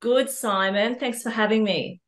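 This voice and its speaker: A woman's voice: on the high side, unhurried. A middle-aged Australian woman talking casually as a guest in a podcast interview. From Australia, New South Wales. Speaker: podcast guest, social worker. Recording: roomy, echoing sound.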